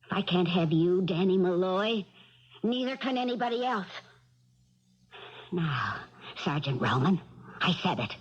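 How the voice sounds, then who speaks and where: An actress playing a tough, possessive young showgirl in a 1970s radio drama, sharp and confrontational. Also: on the low side, animated, a little slow, pauses often, breathy edge. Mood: menacing. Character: jealous, possessive young chorus girl, tough and threatening. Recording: dull vintage radio recording.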